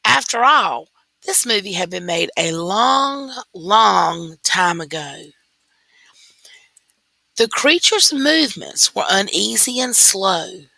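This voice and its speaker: A woman reading a children's story aloud in a deliberate, expressive way, stressing key words. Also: mid-range voice, creaky, dry recording. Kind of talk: scripted story read-aloud.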